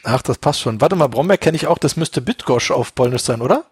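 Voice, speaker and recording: A man's voice: medium pitch, expressive, fairly quick, husky, no echo, clean studio sound. A man from Berlin hosting a casual interview, chatty and joking. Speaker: interview host.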